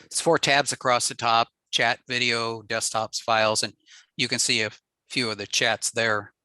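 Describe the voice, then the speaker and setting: A man giving a relaxed technical slide talk on a radio network, explaining with frequent ums and uhs. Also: medium pitch, moderate pace, clean tone, no room sound, rough recording. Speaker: ham radio presenter.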